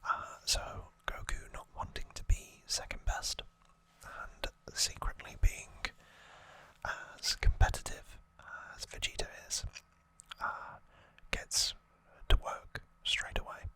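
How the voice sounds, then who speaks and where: Asmr person whispering a story recap, all breath, crisp bright hiss, kinda halting and wry. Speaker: ASMR host.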